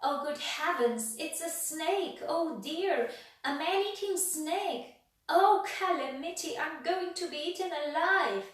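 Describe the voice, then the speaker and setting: A woman's voice: high voice, takes it slowly, pitched above the performer's own voice. A woman voicing a timid, jumpy little man who shrieks and stammers in panic, recorded in an echoey room from a distance. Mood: panicked.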